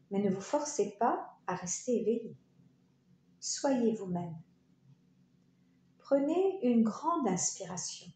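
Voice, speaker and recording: A woman leading a guided yoga relaxation, speaking in short, soothing, unhurried phrases with pauses. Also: mid-range voice, dark tone. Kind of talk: guided meditation narration. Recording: roomy echo, faint background noise.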